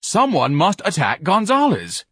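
Audiobook role: grandiose, irate male windbag, theatrical, swooping delivery, husky edge. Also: fairly high pitch, very animated, normal speed, fairly bright tone, dry recording, studio quality, pitched above the performer's own voice, heavily acted. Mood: urgent, commanding. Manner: pompous, theatrical, florid diction. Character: pompous, furious, bellowing man, grandiose agitator.

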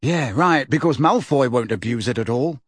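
A cheeky schoolboy wizard from a storybook, acted out by a man. He talks casually in a raised pitch, with a sarcastic, grumbling streak. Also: very animated, a little slow, husky, no room sound, clean studio sound, heavily acted. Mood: bitter, sarcastic.

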